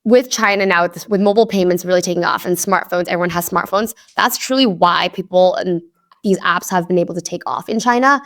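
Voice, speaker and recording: A woman's voice: fairly low pitch, brisk, fairly bright tone. Chatty young woman from Hong Kong. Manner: chatty, upbeat, many "like" fillers. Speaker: guest investor. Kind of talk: casual podcast interview.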